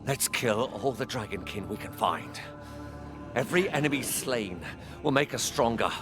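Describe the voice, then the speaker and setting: An actor playing an earnest, driven knight in a fantasy video game, with an urgent, emphatic delivery. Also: male voice, mid-range voice, expressive, moderate pace, music in the background, close to an everyday voice. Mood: determined. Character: earnest, driven male knight, vengeful young warrior. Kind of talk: dramatic video game acting.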